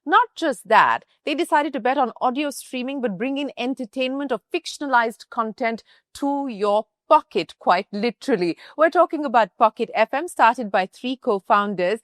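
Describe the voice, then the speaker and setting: An articulate woman with an Indian English accent and a fairly high voice. She presents like a polished broadcast host, stressing key phrases as she interviews in a dry, close-sounding space. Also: lively intonation, moderate pace, dry recording.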